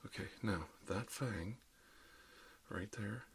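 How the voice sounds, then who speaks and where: A man playing a calm, reassuring dentist in an ASMR roleplay, speaking softly and often slipping into whispers. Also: lowish voice, slow pace, full character voice. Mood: calm, explanatory. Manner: soft, often dropping into whispers, soothing. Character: calm reassuring dentist treating an undead patient. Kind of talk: ASMR roleplay, murmured one-sided dialogue. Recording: clear background noise, clicks.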